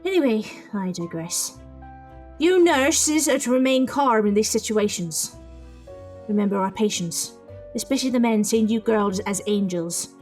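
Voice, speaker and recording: A woman playing a stern, brisk senior nurse who scolds her young staff in a period audio drama. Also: mid-range voice, lively intonation, a little mellow, faint music behind, natural voice. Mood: stern, scolding.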